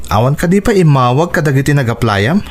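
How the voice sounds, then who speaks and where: A man's voice: mid-range voice, lively intonation, fast, close to an everyday voice. A man with a Filipino accent acting a supportive, encouraging older cousin in an Ilocano radio drama, speaking reassuringly.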